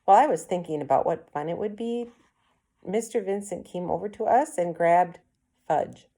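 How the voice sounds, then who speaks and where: Slightly low-voiced woman reading a storybook. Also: normal speed, warm tone. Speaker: read-aloud reader. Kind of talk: children's storybook narration, first person.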